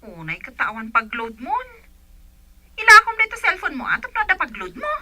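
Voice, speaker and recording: A woman's voice: high-pitched, huge pitch swings, normal speed, background noise. A woman with an Ilocano accent playing a bossy, teasing older sister in a radio comedy drama, pushy and insistent.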